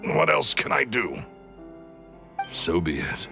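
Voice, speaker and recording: An actor playing a cynical, greedy magician villain in a video game, bitter and theatrical, with a gravelly voice. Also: male voice, medium pitch, big pitch swings, slow pace, sharp tone, soft background music, full character voice. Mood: bitter, resigned. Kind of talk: dramatic video game voice acting.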